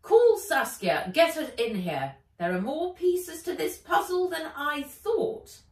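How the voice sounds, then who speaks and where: A woman puts on an imperious storybook villainess who barks demands. Her voice is high and swoops dramatically. The room echoes around her. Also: big pitch swings, slow, distant mic, heavily acted. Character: Ivy, commanding scheming rich woman villain.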